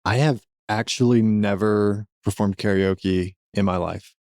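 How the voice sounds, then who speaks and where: Deep-voiced man co-hosting. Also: fairly even intonation, measured pace, darkish tone, dry recording. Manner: dry humor, emphatic repetition. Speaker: podcast co-host. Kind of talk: casual podcast chat.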